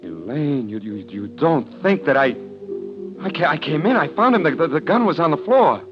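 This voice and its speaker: An actor playing a distraught young man who speaks haltingly in an old-time radio drama. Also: a little high, expressive, lightly acted. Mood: shaken, bewildered. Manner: halting, stammering. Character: distraught young man, an heir accused of murder. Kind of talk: 1940s radio acting. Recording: muffled old radio recording, music behind.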